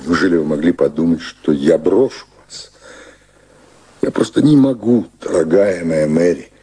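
A husky, low-voiced older gentleman. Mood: tender, forgiving. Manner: courtly, halting, repeats phrases earnestly. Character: devoted, proper older British gentleman, senior official. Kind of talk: radio play acting.

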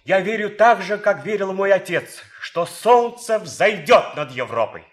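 Slow, earnest idealist German officer. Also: male voice, high-pitched, expressive. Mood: fervent, apologetic. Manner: earnest, deliberate, theatrical delivery. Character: idealistic, earnest German officer and composer who loves France. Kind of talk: radio drama monologue.

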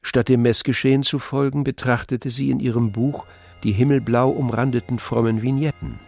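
A man giving measured, articulate literary narration for a radio play. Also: medium pitch, steady tone, gravelly, darkish tone, no echo. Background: music.

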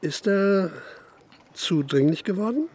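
Probing, higher-voiced police investigator. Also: male voice, animated, darkish tone, breathy edge, full character voice. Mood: probing, official. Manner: matter-of-fact, insistent, measured questioning. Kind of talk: naturalistic radio drama acting and narration.

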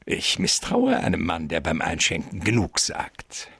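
Fat crime boss, radio drama, pompous, smooth-talking, husky, very animated, close dry sound. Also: male voice, deep voice, measured pace, no echo, exaggerated voice. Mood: jovial, sly. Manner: pompous, wordy, chuckling, patronizing.

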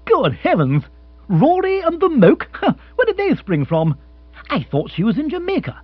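Man playing blustering young lord, swooping high voice. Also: big pitch swings, normal speed, breathy edge, heavily acted. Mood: incredulous. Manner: blustering, exclamatory upper-class slang. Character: exasperated young English lord, blustering and incredulous. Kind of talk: comic audiobook character acting. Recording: muffled, echoey recording with hum.

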